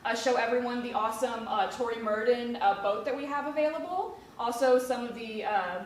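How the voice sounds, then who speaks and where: A woman's voice: on the high side, a little fast, slight background noise. A young woman in her twenties from Kentucky, chatting casually in an interview with many fillers. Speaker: museum education specialist. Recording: echoey room from a distance.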